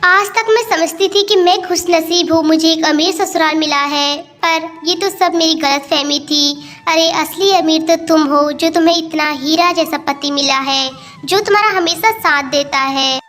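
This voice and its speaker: A woman's voice: extremely high pitch, moderate pace, fairly bright tone, voice pushed up. A woman voicing a boastful, condescending rich elder sister in a cartoon tale, with a patronizing, emphatic manner. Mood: remorseful.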